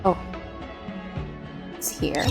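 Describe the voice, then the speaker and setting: A woman's voice: very slow, music in the background. A woman livestreaming a game with casual, playful and teasing reaction commentary.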